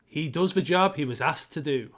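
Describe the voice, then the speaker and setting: Higher-voiced man chatting about films. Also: moderate pace, a bit bright. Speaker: co-host of a film discussion show. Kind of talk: casual chat, film commentary.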